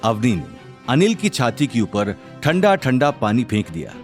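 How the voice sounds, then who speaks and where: A male narrator delivers a scripted family drama with theatrical storytelling. His mid-pitched voice moves expressively, with background music running underneath. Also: sing-song, moderate pace.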